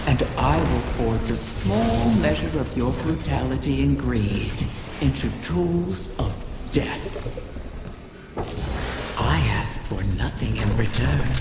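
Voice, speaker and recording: A woman's voice: very deep voice, very noisy, heavily acted. A woman voicing an ancient female wish dragon in a game, sly and seductive, with a theatrical, mocking manner and cavernous reverb. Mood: menacing, commanding. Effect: cavernous echoing reverb. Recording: long echoing reverb under loud music.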